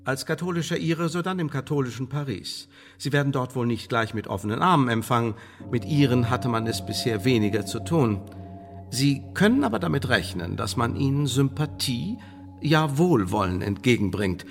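An actor playing a coolly menacing English intelligence official in a radio play, speaking in formal, measured, condescending bureaucratic phrases. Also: male voice, mid-range voice, lively intonation, soft background music, natural voice. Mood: persuasive, emphatic. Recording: studio radio play with long reverb.

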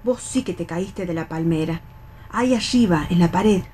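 Radio play casting: actress as weary, wary Argentine woman near sixty, factory veteran, lowish voice, bossy colloquial instruction, some background noise. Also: normal speed, mellow, natural voice. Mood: wary, commanding. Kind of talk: radio theatre reading.

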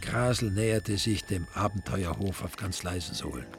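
A man's voice: deep voice, moderate pace. A man with an Austrian accent narrating a scripted radio crime drama in a dry, wry way. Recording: music behind the narration.

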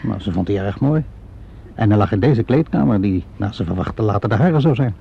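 A gravelly, husky-voiced inspector in an old radio drama. He questions in a measured, courteous and methodical way, pressing calmly. The recording is dull and lo-fi. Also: fairly low pitch, heavily acted. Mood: measured, persistent. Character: calm, probing police inspector questioning theatre suspects. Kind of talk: Dutch radio play acting.

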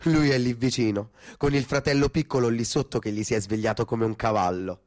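A man's voice: mid-range voice, steady tone, brisk, darkish tone. A man narrating a literary story in a theatrical style for radio. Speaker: actor-narrator.

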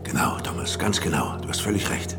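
An actor playing a drunken, excitable collector of antiquities in a German radio drama, emphatic and repeating himself in a halting way. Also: male voice, over music, close to an everyday voice. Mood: musing.

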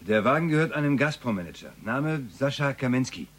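Gang henchman, husky, lively mid-range voice, dry and touchy, clipped delivery for radio crime drama. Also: medium pitch, brisk. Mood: dry, businesslike. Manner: clipped factual reporting with dry retorts. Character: touchy, dry-witted male gangster reporting to his boss.

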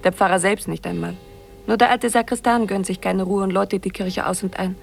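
An actress playing a mysterious young village girl, wistful yet lively, in a 1950s German radio drama. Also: mid-range voice, fairly quick, gravelly, soft background music, lightly acted. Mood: matter-of-fact, evasive.

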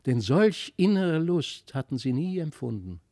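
Male storyteller for classic fairy tales, gravelly edge, expressive, very dry studio sound. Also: fairly high pitch, measured pace, dry recording. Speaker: audiobook narrator. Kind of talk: expressive fairy-tale reading.

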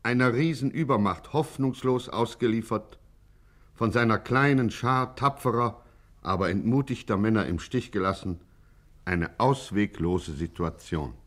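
The narrator of an old gangster radio serial. The voice is fairly low, telling the tale with mock gravity and theatrical suspense. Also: male voice, unhurried. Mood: mock-grave suspense. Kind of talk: scripted 1950s German radio narration.

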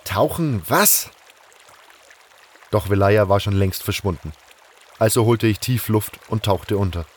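A man's voice: lowish voice, fairly even intonation, moderate pace, darkish tone, slight background noise. A man reading scripted first-person narration for a fantasy fan audio drama.

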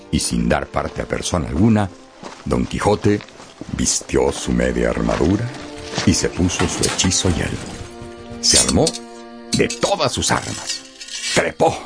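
A man narrating a radio story expressively and theatrically, with dramatic pauses and emphasis. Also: low-pitched, vocal fry, darkish tone.

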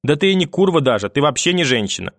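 A man's voice: on the high side, big pitch swings, fast talker, fairly bright tone, dry recording, studio quality, pitched above the performer's own voice, exaggerated voice. A man voicing a spiteful, domineering old grandmother in an audiobook, scolding and cursing with sarcasm. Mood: furious. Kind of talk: audiobook character acting.

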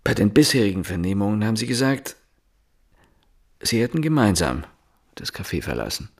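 An actor playing an insistent, probing police inspector, questioning deliberately in a naturalistic radio drama. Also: male voice, on the low side, sing-song. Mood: stern, probing.

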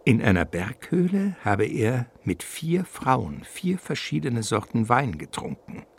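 A man narrating a radio play in an expressive, theatrical style, with suspense and irony. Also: mid-range voice, measured pace, creaky, slightly rough.

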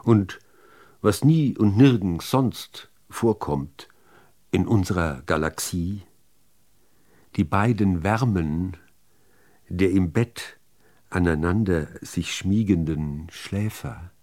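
A man reciting literary poetry in deliberate, measured phrases with pauses between them. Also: low voice, warm tone. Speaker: reader of poetry.

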